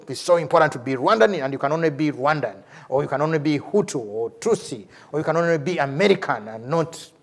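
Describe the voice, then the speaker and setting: A middle-aged man with a Ugandan accent, speaking off the cuff as a lecturer, animated and repeating words for emphasis. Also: on the high side, slightly rough. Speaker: lecturer, theology professor and priest. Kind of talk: lecture with off-the-cuff answer to a question. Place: large university lecture hall, at a lectern.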